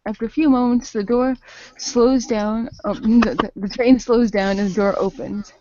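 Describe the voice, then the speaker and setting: A woman narrating an improvised radio play as game master, with a halting delivery. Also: a little high, fairly even intonation, dark tone, no echo. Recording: dull lo-fi voice recording.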